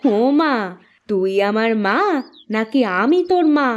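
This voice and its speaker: A woman's voice: a little high, animated, slow pace, dull audio. A woman voicing an affectionate, proud village mother and housewife in a cartoon. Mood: anxious, fond. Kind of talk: Bengali cartoon dialogue.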